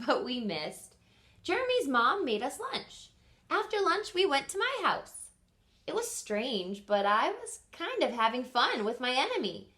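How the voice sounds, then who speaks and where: A woman reading a picture book aloud expressively as a teacher does for children, pausing to ask simple questions. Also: fairly high pitch, fairly bright tone. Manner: pauses to quiz listeners with simple questions.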